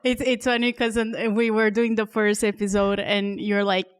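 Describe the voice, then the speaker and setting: A woman's voice: medium pitch, level tone, moderate pace, smooth. A woman podcast producer chatting enthusiastically and supportively with the host. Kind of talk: casual podcast chat.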